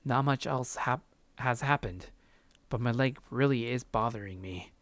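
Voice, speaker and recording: A man's voice: mid-range voice, unhurried, a bit bright, no room sound. A man hosting a let's play, reading a visual novel's first-person narration aloud.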